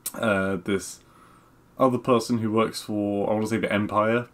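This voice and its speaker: A man with a lowish voice talks as a podcast co-host. His delivery is conversational and unscripted, and he hesitates as he pieces his thoughts together. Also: fairly even intonation, measured pace, fairly bright tone. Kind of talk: casual chat.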